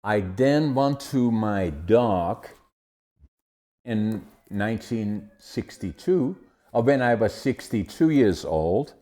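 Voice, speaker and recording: An older man from the Netherlands with a Dutch accent, telling his life story in an interview with animated restarts. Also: lowish voice, takes it slowly. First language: Dutch dialect. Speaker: guest. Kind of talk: conversational interview.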